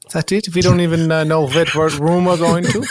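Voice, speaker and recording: An improv comedy performer with an excitable, panicky manner. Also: mid-range voice, fairly quick.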